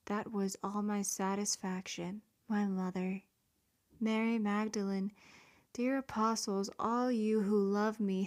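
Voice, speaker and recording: A woman reads a devotional prayer at a very unhurried pace. She sounds earnest and pleading. Some of her words fade into a soft whisper. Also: medium pitch. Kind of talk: slow devotional prayer reading.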